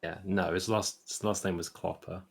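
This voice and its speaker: Male co-host, very creaky and husky, mock-earnest, understated casual conversation. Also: deep voice, fairly even intonation, moderate pace. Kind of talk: casual chat.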